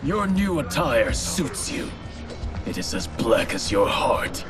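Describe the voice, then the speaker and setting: Measured, stern, principled warrior. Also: male voice, medium pitch, sing-song. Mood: bitter disdain. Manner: measured, formal, disdainful. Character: stern, principled male warrior, bitter toward his brother. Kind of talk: video game cutscene acting. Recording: loud music behind dialogue.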